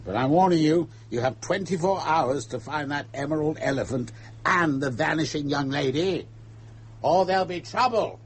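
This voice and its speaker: An actor playing an indignant, suspicious ship's captain in a radio drama, gruff and authoritative. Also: male voice, a little high, moderate pace. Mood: stern, suspicious. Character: blustering, suspicious ship's captain, irascible yet self-declaredly fair.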